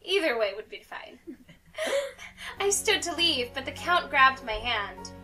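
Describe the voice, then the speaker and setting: A woman's voice: sits high, sing-song, takes it slowly, far from the mic, music in the background, poor audio. A young woman reading a visual novel game aloud in a playful way, mixed with casual banter. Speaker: let's play host.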